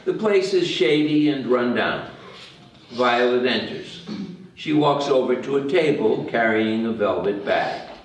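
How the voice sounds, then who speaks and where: A man's voice: mid-range voice, animated, unhurried. A man reading stage directions as the narrator of a screenplay table read. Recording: very echoey room, distant mic.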